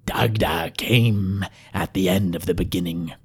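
Raspy, fanatical hobgoblin priest. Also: male voice, sits low. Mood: ecstatic reverence. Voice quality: raspy, breathy, creaky. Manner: slow, reverent, gasping, half-whispered. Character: battered, fanatical hobgoblin priest, ecstatic cult prophet.

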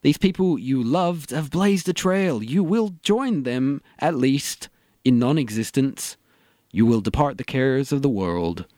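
A man's voice: medium pitch, animated, measured pace, mellow, no room sound, close to an everyday voice. Guy playing a dour morbid thinker type for an audio comedy, dry sound, voice goes up and down a lot. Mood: morbid, musing. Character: gloomy philosophical man, morbid and bluntly tactless, called DM. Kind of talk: scripted comedy audio drama.

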